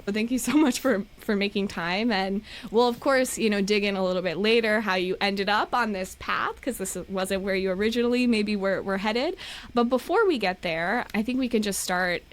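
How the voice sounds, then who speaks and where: A woman's voice: mid-range voice, moderate pace. A woman hosting a podcast, talking in a chatty, friendly interview manner. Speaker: podcast host. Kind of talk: casual interview.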